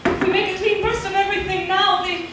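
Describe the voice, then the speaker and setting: An actress playing an anguished, conscience-stricken wife in an old-time radio drama, earnest, grave and melodramatic. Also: female voice, very high-pitched, flat delivery, fast talker, a bit bright. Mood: urgent, pleading. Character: anguished, conscience-stricken wife, resolute against dirty money. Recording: echoey, distant, noisy old radio recording.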